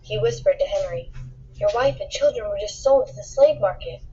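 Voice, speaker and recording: A woman reading a children's picture book aloud in an expressive storytelling style, acting out the dialogue with emphasis. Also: medium pitch, a little fast, lo-fi sound, slight background noise. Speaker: storybook reader.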